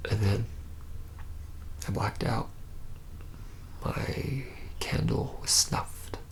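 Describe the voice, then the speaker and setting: Guy acting as young vampire dude, rueful n haunted, slow halting asmr whisper w tons of gravelly fry. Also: on the low side, fairly bright tone, heavily acted, rough recording. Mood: grim. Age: young adult. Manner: halting, hesitant storytelling with heavy pauses. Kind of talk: whispered ASMR roleplay monologue.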